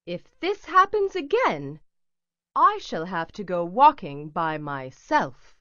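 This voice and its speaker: A woman voicing a prim, proper mother who scolds in a formal, shrill way. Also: big pitch swings, slow, pushed and bright, no echo, heavily acted. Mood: scolding. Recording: slightly dull dry recording.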